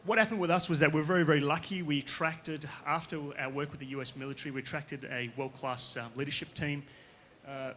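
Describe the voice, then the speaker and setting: A man from Western Australia with an Australian accent, giving a conference pitch as a company founder, casual and conversational, often checking in with the audience. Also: fairly even intonation, fairly quick, bright, forceful tone, a little hiss or hum. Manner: casual, conversational, with frequent tag questions. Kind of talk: conference presentation. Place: conference stage.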